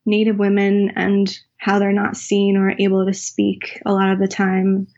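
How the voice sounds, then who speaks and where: A woman's voice: medium pitch, completely flat delivery, unhurried, darkish tone. A woman writer and teacher from the United States, answering interview questions in a thoughtful, searching way. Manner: thoughtful, searching, with restarts and filler. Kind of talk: reflective conversational interview. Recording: dull lo-fi recording.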